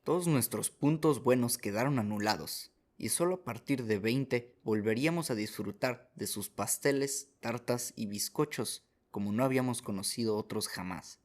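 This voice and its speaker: A man's voice: mid-range voice, normal speed, hardly any pauses. A man reading scripted first-person story narration as the narrator of a radio drama.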